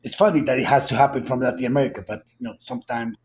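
A man's voice: mid-range voice, steady tone, rapid-fire, a little mellow, dull audio. A man from Colombia with a Colombian Spanish accent, answering interview questions earnestly and emphatically, often repeating words. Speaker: guest, cannabis company founder.

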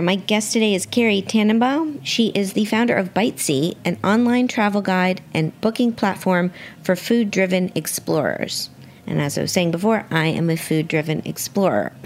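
A woman hosts a relaxed radio interview in a voice a little lower than most. She speaks with enthusiasm and often doubles back on words, as in real conversation. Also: a little hiss or hum. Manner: enthusiastic, halting with repeated words. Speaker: radio show host and interviewer.

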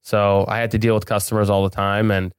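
Male interview guest, clear resonant tone on the low side, informal and enthusiastic delivery. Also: level tone, a little fast, no echo, studio quality. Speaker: podcast guest, business owner. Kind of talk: casual interview chat.

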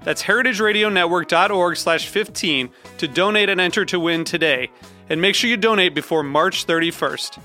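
A man's voice: high-pitched, a little fast, sharp tone. A man reading a scripted fundraising appeal for a radio network in an earnest, polished way. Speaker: network announcer. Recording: music bed behind voice.

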